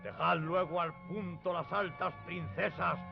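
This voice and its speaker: Gravelly, slowly declaiming wrathful knight. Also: male voice, on the high side, steady tone. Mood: wrathful challenge. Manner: slow, loud declamation. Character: wrathful knight-errant shouting a grandiose challenge. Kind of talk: radio drama acting. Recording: dull recording with music behind. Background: music.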